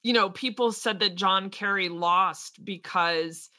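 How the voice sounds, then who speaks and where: A woman co-hosts a casual podcast, her voice bright and a little tense. She talks fast and animated, breaking into laughs and quick asides. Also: medium pitch. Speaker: podcast co-host. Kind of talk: casual political chat.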